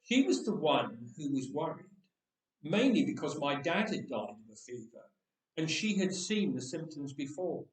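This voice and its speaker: A man gives voice to a candid teenage boy in a first-person storybook tale. The telling is matter-of-fact and confiding, on the higher side in pitch. It sounds roomy and set back from the microphone. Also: big pitch swings, normal speed. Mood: matter-of-fact, reflective. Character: candid thirteen-year-old boy recalling his own death. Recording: echoey room, distant microphone.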